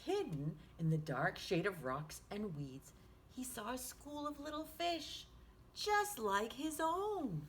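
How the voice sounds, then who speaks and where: A woman reads a picture book to young children as a storytime host. Her voice is highish and swoops in a sing-song way, taken slowly, with some background noise. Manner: animated, sing-song, with hushed asides to listeners.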